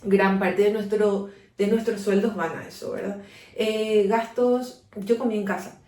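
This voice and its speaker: A woman's voice: mid-range voice, steady tone, measured pace, dark tone. A woman in her twenties with a Paraguayan accent, co-hosting a podcast in a casual, conversational way. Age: 20s. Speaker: podcast co-host. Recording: roomy echo, distant from the mic.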